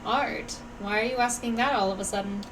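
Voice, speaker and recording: A woman's voice: on the high side, steady tone, brisk, a bit bright, slight background noise. A woman streaming a game and reading its dialogue aloud. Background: game music.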